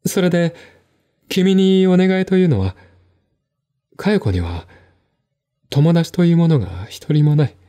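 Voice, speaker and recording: A man voicing a melancholy, earnest, troubled gentleman who confides and pleads, in a one-man radio drama reading. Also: fairly high pitch, lively intonation, a little slow, long pauses, pitched above the performer's own voice. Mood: troubled pleading.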